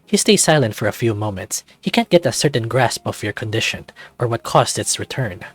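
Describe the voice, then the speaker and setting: A man's voice: mid-range voice, lively intonation, fairly quick, fairly bright tone, breathy edge, no room sound, faint music behind. A man narrating a scripted second-person story as a visual novel reader.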